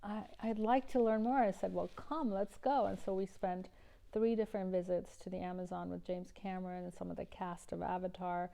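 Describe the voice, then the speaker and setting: A middle-aged woman from Iran speaks as an interview guest, her voice low, gravelly and dark in tone. She talks earnestly and evenly, often pausing on fillers and restarting her sentences. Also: level tone. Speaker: environmental activist guest. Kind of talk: interview answers.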